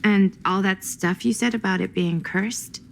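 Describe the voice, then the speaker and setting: Uneasy young outsider: tentative, questioning, fry-edged delivery for game drama. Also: female voice, mid-range voice, a little slow, a little hiss or hum. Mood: curious, uneasy. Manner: hesitant, questioning. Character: curious, hesitant young woman, uneasy outsider.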